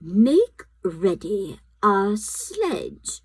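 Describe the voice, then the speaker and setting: A woman's voice: medium pitch, huge pitch swings, extremely slow, darkish tone, breathy edge, dry recording, exaggerated voice. Woman voicing a cold, cruel witch queen. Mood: commanding.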